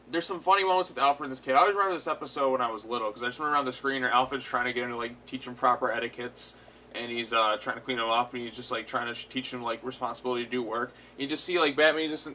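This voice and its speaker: A man's voice: mid-range voice, fairly even intonation, quick pace, bright, forceful tone. A man giving a casual, unscripted episode review as host, retelling it animatedly with frequent swearing. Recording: clear background noise.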